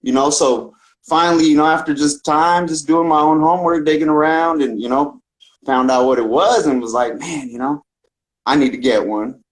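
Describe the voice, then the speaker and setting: A man's voice: fairly high pitch, normal speed, poor audio. A man from the Bay Area with a Northern California accent, telling his story casually and earnestly in loose street slang. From San Francisco Bay Area, United States. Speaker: podcast guest, talkbox musician.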